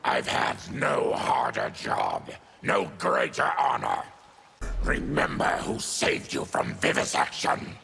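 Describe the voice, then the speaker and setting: Very gravelly, menacing mutant warrior. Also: male voice, extremely low pitch, wildly swooping pitch, takes it slowly, bright, forceful tone, slight background noise, exaggerated voice. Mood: fierce. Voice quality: harsh, gravelly growl. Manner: menacing, weighty delivery. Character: fierce, proud diseased mutant warrior, bound by honor. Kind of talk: dramatic fighting-game dialogue. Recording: long reverberant hall echo.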